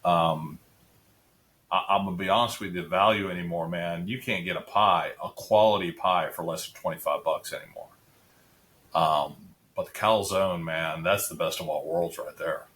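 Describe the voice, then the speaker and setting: A middle-aged man with a deep voice, chatting casually as a podcast guest, rambling with restarts and filler words. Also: normal speed, a bit bright, a little hiss or hum. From Central Florida area resident. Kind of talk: casual interview chat.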